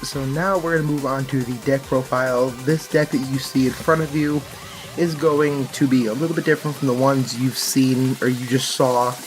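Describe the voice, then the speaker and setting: A man giving casual, unscripted commentary on card game play and decks, explaining things and correcting himself as he goes. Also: mid-range voice. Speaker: card game channel host.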